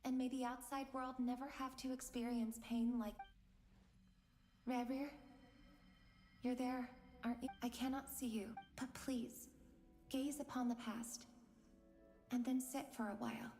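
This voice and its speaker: A woman acts a young female scholar in a game, with a fairly high, echoing voice. She is polite and earnest, hesitant and full of sorrow, with music underneath. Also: flat delivery, measured pace, pauses often, warm tone, lightly acted. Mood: earnest, sorrowful. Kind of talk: video game voice acting.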